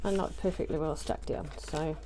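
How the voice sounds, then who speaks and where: A woman's voice: low voice, moderate pace, background noise. A woman casually talking through a craft project at home, rambling with lots of ums and little self-deprecating asides. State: voice just recovered from loss. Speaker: craft journaling vlogger.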